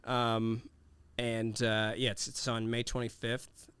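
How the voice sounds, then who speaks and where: A man's voice: medium pitch, monotone, slow pace, a bit bright, clear, resonant tone. A man, a comedian guest on a podcast, chatting casually and grumbling in a self-deprecating way. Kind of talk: casual chat. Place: podcast studio.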